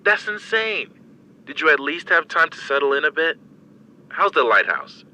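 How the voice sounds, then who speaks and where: Adult son, game character, reassuring, lightly teasing, very crisp bright edge. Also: male voice, on the high side, sing-song, moderate pace, full character voice, low-quality recording. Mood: playful. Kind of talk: naturalistic video game acting.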